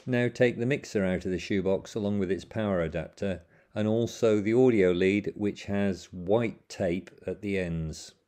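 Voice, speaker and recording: Low, mellow man giving step-by-step setup instructions. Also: moderate pace, hardly any pauses. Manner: plain, methodical instructions with small slips. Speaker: instructional narrator. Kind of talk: step-by-step how-to voice-over.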